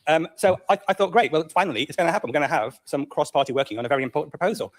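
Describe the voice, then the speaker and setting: A man speaking as a councillor in an informal, halting political speech. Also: a little high. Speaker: local councillor.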